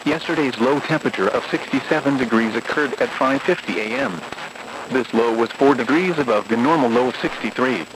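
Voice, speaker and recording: A synthetic-sounding man reading a scripted automated weather bulletin in a flat, methodical way over a noisy radio broadcast. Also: medium pitch, brisk, creaky.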